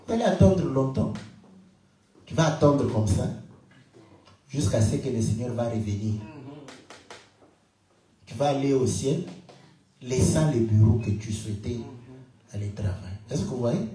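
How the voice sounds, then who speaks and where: A man from Congo preaching a sermon, swinging from loud exclamations to quiet asides, asking the congregation rhetorical questions and laughing often. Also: measured pace, pauses often, far from the mic, a little hiss or hum. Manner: animated, swings between loud high exclamations and low murmured asides. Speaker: pastor. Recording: very echoey room, speaker away from mic.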